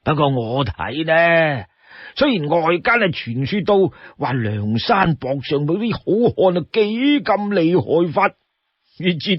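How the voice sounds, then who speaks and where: A man voicing a talkative old townsman in Cantonese, sighing and exclaiming in awe with drawn-out emphasis. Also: a little high, husky, dry recording, muffled recording, heavily acted. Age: older. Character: talkative old male townsman, awed and gloomy storyteller. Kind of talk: dramatized Cantonese storytelling.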